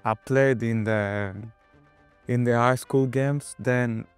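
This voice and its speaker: A man's voice: mid-range voice, fairly even intonation, takes it slowly, smooth, soft background music. A young man with a Kenyan accent, answering interview questions in a hesitant way with many fillers. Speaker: footballer guest.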